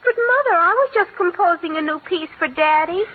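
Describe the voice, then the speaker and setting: A woman's voice: extremely high pitch, animated, a little fast. A woman playing a sly little girl, sweet to her father and defiant to her stepmother, in 1940s radio acting. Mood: innocent. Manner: cries on cue, wheedling. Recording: tinny old radio broadcast.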